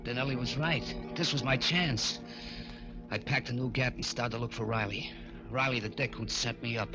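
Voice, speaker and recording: An actor playing a nervous, timid young American small-time crook in an old-time radio crime drama, hesitant and slangy. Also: male voice, mid-range voice, steady tone, moderate pace, lo-fi sound, lightly acted. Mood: grim narrating. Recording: vintage radio recording with music behind.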